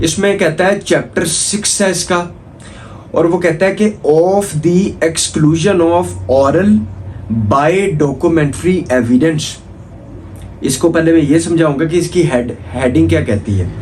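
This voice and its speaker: A Pakistani man lectures in a somewhat high-pitched voice. He teaches in an informal, didactic style, restating key points and dropping in English legal terms. A little background noise sits behind his voice. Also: darkish tone. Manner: didactic, repeats key points with frequent check phrases. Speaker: law lecturer.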